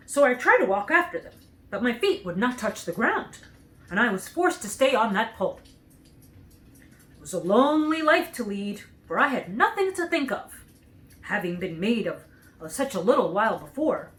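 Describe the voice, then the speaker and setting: A woman's voice: fairly high pitch, sing-song, moderate pace, fairly bright tone. A woman voicing a cheerful, naive scarecrow in a storybook, speaking earnestly and with emphasis. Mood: wistful, earnest.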